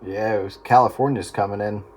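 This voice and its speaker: A very noisy radio transmission carries a man with a fairly low voice. He chats casually in short remarks, as a hobbyist on the air. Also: steady tone, fairly quick.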